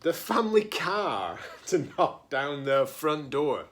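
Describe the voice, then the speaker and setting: Male storybook reader, librarian style: highly varied pitch, slow delivery, dramatic pauses, playful chatty asides for children. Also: very animated, fairly bright tone, slight background noise. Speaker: teacher-librarian reading a picture book aloud. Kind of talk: animated children's read-aloud with chatty asides.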